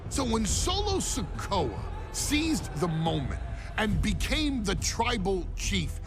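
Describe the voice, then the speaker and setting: A man's voice: sits high, huge pitch swings, takes it slowly. A man delivering a dramatic monologue as a narrator. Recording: music bed behind voice. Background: music.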